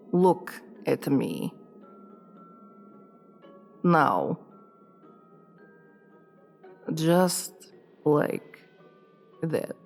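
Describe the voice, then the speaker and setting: A woman playing an imperious, seductive vampire queen in an ASMR roleplay, commanding and teasing with condescending, deliberate delivery. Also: low voice, gravelly, a little mellow, full character voice. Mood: coolly commanding. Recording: long reverb with music behind.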